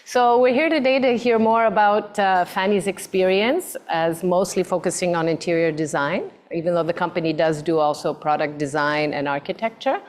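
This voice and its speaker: A woman's voice: lowish voice, normal speed, few pauses. A woman moderating a design talk for students, hesitant, with many fillers and repeated words. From mixed background.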